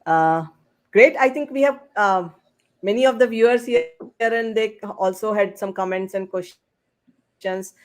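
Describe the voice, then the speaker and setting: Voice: mid-range voice, measured pace. A host moderating a live online panel over a video call, speaking in an informal, chatty and somewhat hesitant way.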